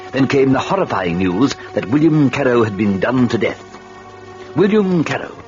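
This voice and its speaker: An actor playing the Devil as a genial, sardonic storyteller, chatting wryly to listeners in hesitant asides on an old radio horror drama. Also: male voice, on the low side, natural voice. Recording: muffled old radio recording, music behind.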